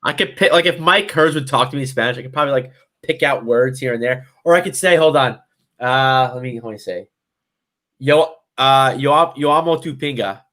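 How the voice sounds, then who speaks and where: A man's voice: medium pitch, moderate pace, pushed and bright. A young man co-hosting a casual chat show, joking and rambling with lots of laughter. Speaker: podcast co-host.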